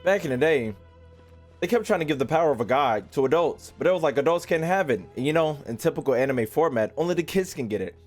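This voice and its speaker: Casting: male streamer, lightly high pitch, informal slangy chat and recaps, faint game music behind. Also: lively intonation, fast talker. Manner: slangy, conversational. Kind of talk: casual livestream commentary.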